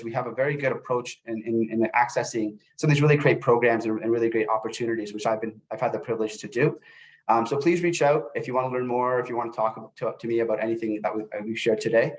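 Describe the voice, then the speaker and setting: Middle-aged guy from el salvador doing a webinar talk, talks fast but halting, keeps repeating little words. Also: mid-range voice, low-quality recording. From born in El Salvador, grew up in North America. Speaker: webinar presenter, youth services manager. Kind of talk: informal webinar presentation with personal story.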